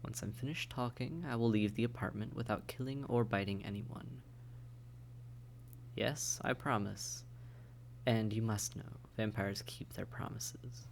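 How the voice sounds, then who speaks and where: A man's voice: lowish voice, vocal fry, a little hiss or hum. A man playing a suave, condescending vampire in an intimate audio roleplay, speaking to the listener in a polite, wry, teasing and articulate way. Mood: coaxing, civil.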